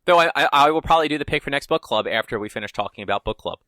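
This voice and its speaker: Podcast guy rambling casually, bright lowish voice, dry close sound. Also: animated, rapid-fire, no echo. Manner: laid-back, rambling, swears casually. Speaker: podcast co-host. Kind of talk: casual chat.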